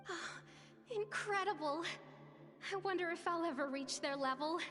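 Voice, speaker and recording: A woman voicing a gentle, humble young woman who speaks softly, in a game scene with hall reverb. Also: very high voice, normal speed, faint music behind. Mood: wondering. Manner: soft and wondering.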